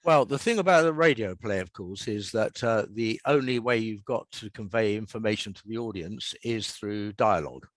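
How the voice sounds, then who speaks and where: An older man with an English accent being interviewed as a writer, telling anecdotes and explaining in a measured, emphatic, conversational way. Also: lowish voice, dry recording. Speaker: author and radio scriptwriter being interviewed.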